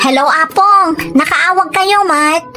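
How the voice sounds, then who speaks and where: A woman's voice: extremely high pitch, slow, over loud music, heavily acted. A woman playing a caring young granddaughter on a phone call in a family drama. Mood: polite, eager.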